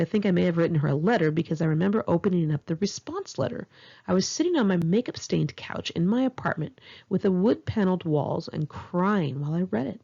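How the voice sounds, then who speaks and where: Low-pitched woman, Californian accent, husky, creaky, expressive memoir narration, slightly dull recording. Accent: Californian American. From Los Angeles area, California, United States. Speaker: author reading own memoir. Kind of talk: narrated memoir audiobook, one-take home reading.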